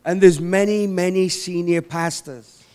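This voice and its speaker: A man, a native English speaker, preaching in short phrases with pauses for an interpreter and repeating them for emphasis. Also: high voice, fairly even intonation, warm tone, clear, resonant tone. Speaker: visiting preacher.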